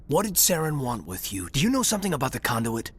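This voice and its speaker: Very deep, husky, stern woman squadmate. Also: big pitch swings, fast, heavily acted. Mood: stern, impatient. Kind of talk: scripted video game dialogue.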